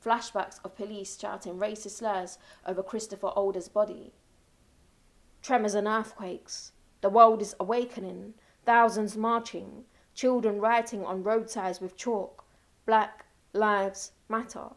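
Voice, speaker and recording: A woman's voice: medium pitch, fairly even intonation, measured pace. A woman performing spoken word poetry in emphatic, declarative short phrases with repeated refrains.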